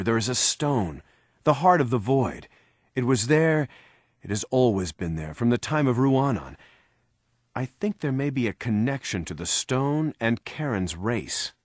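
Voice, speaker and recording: A man voicing a steady male high lord who speaks firmly and formally to his soldiers in a game cutscene. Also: no room sound, studio quality. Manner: firm, formal, rallying.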